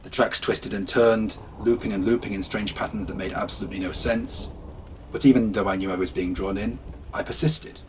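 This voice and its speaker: A low-pitched man reads first-person horror tales aloud. His telling swings from hushed and ominous to brisk and lively. A faint patter of rain sits behind him. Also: a little hiss or hum, poor audio. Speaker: storyteller. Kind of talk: scripted horror narration.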